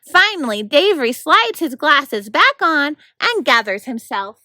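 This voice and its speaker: A woman reading a children's novel aloud as an expressive, animated storyteller. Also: high voice, measured pace, dry recording, studio quality. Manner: animated, acts out the narration. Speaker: volunteer reader of a children's novel.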